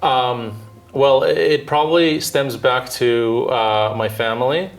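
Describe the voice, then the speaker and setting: A man in his thirties from Southern California, a dentist answering interview questions in a measured, thoughtful way with frequent filler pauses. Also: medium pitch, steady tone, faint music behind. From Southern California, United States. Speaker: dentist and orthodontist guest.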